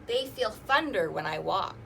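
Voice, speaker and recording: A woman's voice: medium pitch, animated, measured pace, creaky, bright, forceful tone, slight background noise. A woman hosting an interactive storytime, reading aloud to young children with singsong emphasis and inviting them to join in. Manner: asks listeners questions and invites them to join in.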